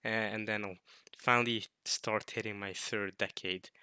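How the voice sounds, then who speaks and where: A man in his late teens chatting casually to viewers as a video host, jokey and animated, repeating words in bursts as he rambles. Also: lowish voice, moderate pace, clear, resonant tone. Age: late teens, turning twenty. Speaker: host of a video series reading a visual novel.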